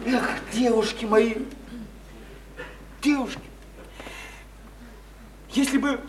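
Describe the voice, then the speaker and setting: A very high-pitched male idealist, preaches in a theatrical radio drama. He stammers and repeats himself, building grand, fervent oratory. The recording is echoey, with noise behind the voice. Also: steady tone, takes it slowly. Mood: preachy, exalted. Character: impassioned idealistic newcomer preaching reform to townswomen. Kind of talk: theatrical radio play acting. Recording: noisy, echoey stage-like radio play recording.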